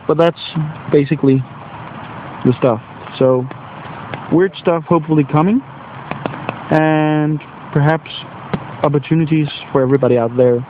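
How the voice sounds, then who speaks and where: A man's voice: mid-range voice, narrow, tinny audio, noisy background. A Danish man with a Danish accent and a slight cold, a teacher talking casually outdoors in a rambling, self-deprecating way, searching for English words. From Denmark. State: has a cold. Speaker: teacher and video blogger. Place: outdoors on a wet bench in nature.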